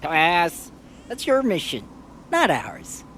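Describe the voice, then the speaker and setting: A man's voice: sits high, huge pitch swings, slow, fairly bright tone, slight background noise, exaggerated voice. A man playing a flippant, sardonic, evasive immortal in an audio drama.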